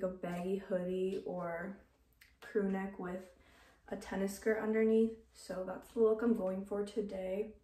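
A woman's voice: medium pitch, warm tone. A seventeen-year-old girl from Canada talks to her camera in a casual vlog. She sounds upbeat and chatty, with plenty of "like" and "literally". She is recorded at home in her bedroom, with the phone held at arm's length. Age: 17, teenager. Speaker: vlogger.

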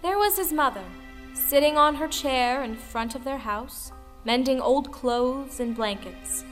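A woman narrates a folktale audio drama in a high voice. Soft music plays behind her scripted lines. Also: unhurried.

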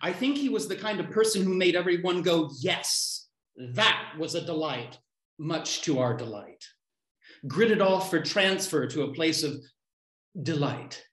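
A man in his fifties reading his poems aloud on a video call from home, declaiming short lines with deliberate pauses. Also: on the high side, animated, fairly bright tone. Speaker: poet reading own poems. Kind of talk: live poetry reading. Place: small room at home. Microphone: computer mic on a video call. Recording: roomy, echoey home video-call sound.

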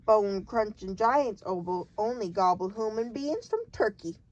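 A woman voicing a huge, jolly male giant who booms his lines and mangles his grammar with made-up words. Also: big pitch swings, normal speed, muffled recording. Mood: booming.

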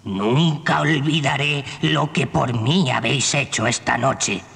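A gentle old shoemaker gives his thanks slowly. His voice is very gravelly and bright. A long reverb hangs over this old storybook cassette. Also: medium pitch. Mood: grateful. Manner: slow, warm-hearted, gravelly.

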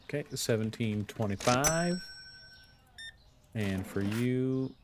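A man's voice: medium pitch, lively intonation, slow, noisy background. A man casually commentating while he plays a store management game, muttering his thoughts aloud in a laid-back way. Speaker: gameplay video host. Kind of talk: casual let's play commentary.

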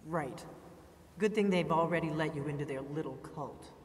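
A woman's voice: sits low, normal speed, darkish tone, close to an everyday voice. Far off in an echoey hall, lady playing a curt fantasy game warrior woman, impatient, bossy. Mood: resigned, businesslike. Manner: curt, businesslike. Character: blunt, impatient female warrior, secretive and commanding. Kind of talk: video game character dialogue. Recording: distant, echoey hall with faint noise.

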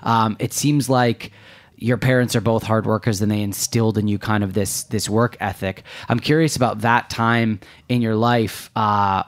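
A man's voice: lowish voice, fairly even intonation, normal speed. A man hosting a food podcast, asking curious, rambling questions with restarts. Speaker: podcast host. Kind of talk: interview questions.

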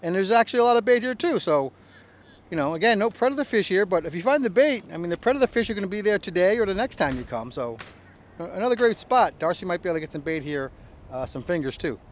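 A man's voice: high voice, expressive, a little hiss or hum. A middle-aged man filming himself at arm's length on a boat outdoors, talking casually with fillers. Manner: quick, chatty, many fillers. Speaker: co-host. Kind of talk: casual fishing vlog. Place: outdoors on a small boat on open water. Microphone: selfie camera at arm's length.

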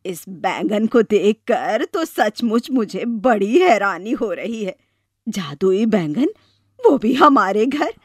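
A woman voicing a wistful, curious elderly village mother-in-law in an animated story, in a musing manner. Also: medium pitch, slow pace, dry recording, studio quality. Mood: amazed, kindly. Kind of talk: animated moral story voice acting.

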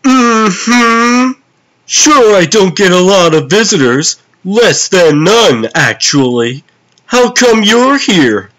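A manic cartoon prankster villain, high and bright. The delivery is theatrical and sing-song, swooping widely with gleeful zany temper. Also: male voice, big pitch swings, takes it slowly, full character voice. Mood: chatty, amused. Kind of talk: comic dub acting.

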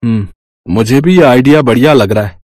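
A man playing a gentle, supportive village father in a cartoon story, reassuring and a little hesitant. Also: medium pitch, fairly quick, dry recording, clean studio sound, natural voice. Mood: curious.

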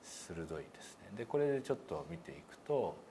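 A middle-aged man with a deep voice teaches pronunciation in a casual, encouraging way. He talks quickly, and there is faint noise in the room behind him. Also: very animated, mellow. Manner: encouraging, praising. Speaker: pronunciation instructor. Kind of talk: casual hands-on lesson. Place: indoor meeting room, seated at a long table.

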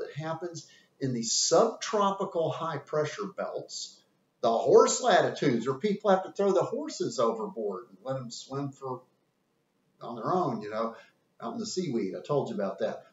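A man with a light Southern US accent explains things in a folksy, animated teaching manner, his voice swinging widely in pitch. He is captured from a distance by a camera in an echoey room, and the sound is somewhat dull. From American South. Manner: animated, folksy, gesturing with hands. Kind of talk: classroom lecture. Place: indoor room with whiteboard.

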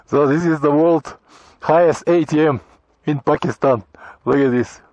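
A middle-aged Bulgarian man talking to his camera on a motorcycle trip, in halting, grateful English full of restarts, recorded outdoors on a helmet or handheld action camera. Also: a little high, a little mellow, dry recording, highs cut off. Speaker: motorcycle travel vlogger. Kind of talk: casual travel vlog talk to camera. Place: high mountain pass outdoors. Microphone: action camera on helmet or in hand.

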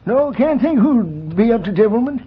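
An actor playing an excitable, folksy old prospector with a raspy frontier drawl in a vintage radio western. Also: male voice, very high-pitched, moderate pace, full character voice. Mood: folksy, eager. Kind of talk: 1940s radio western acting. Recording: muffled vintage radio recording.

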